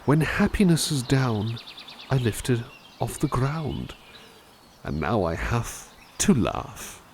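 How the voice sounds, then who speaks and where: A man's voice: mid-range voice, big pitch swings, slow pace, darkish tone, slight background noise. A man playing a kind, courteous butler who reads poems aloud and muses on them in a formal, polite way for an ASMR roleplay. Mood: reciting. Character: kind, courteous male butler reading to listener.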